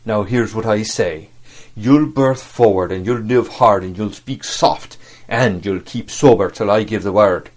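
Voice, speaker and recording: Man acting out a sly, commanding sea cook. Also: lowish voice. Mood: menacing. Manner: rustic sailor talk, emphatic, drawn-out delivery. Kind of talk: audiobook character acting.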